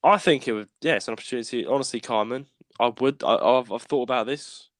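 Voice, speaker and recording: A man co-hosting a casual podcast, stammering and restarting often, with a sore throat he keeps clearing. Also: medium pitch, fast talker, no echo. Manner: stammering, restarts often, laughs while talking. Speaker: podcast co-host. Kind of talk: casual chat. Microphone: podcast microphone.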